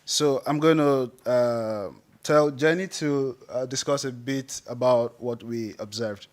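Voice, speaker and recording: A man's voice: mid-range voice, slow pace. Hesitant man presenting at a conference. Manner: hesitant, with many fillers.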